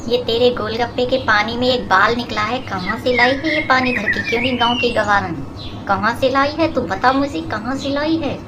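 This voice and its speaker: A woman's voice: on the high side, moderate pace, lightly acted. A woman playing a haughty, scheming young woman who talks with scolding contempt in a moral story. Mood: accusing, bossy. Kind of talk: acted moral story for cartoon. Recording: clear background noise with birdsong.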